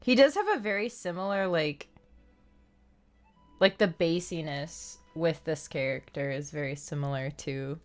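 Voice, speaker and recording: A woman's voice: sits low, a little slow, soft background music. A woman livestreaming a game, chatting casually and reacting to it. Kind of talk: casual stream chat and reactions. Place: indoor livestream setup, music behind.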